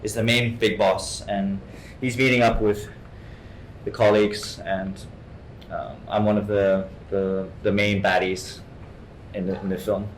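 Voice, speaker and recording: A man from Hong Kong giving an informal interview as a guest actor, halting and often repeating his words. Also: flat delivery. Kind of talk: informal artist talk, answering questions. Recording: echoey room, faint rumbling noise.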